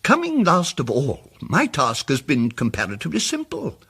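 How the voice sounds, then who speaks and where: A man acting a timid, apologetic but persistent English gentleman amateur sleuth in a radio mystery drama, speaking politely with hesitant, stammering starts. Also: on the high side, huge pitch swings, moderate pace, breathy edge, full character voice. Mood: quietly confident.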